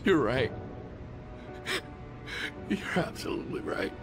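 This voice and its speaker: An actor playing a disgraced, remorseful middle-aged gym teacher in a dramatic video game. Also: male voice, takes it slowly, loud music behind the voice, lightly acted. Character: disgraced male gym teacher, contrite and broken.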